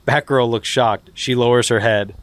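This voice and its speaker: Brief: male co-host narrates stage directions, mid-pitched, half-laughing through it. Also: fairly even intonation, normal speed, dry recording. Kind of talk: comedic script narration.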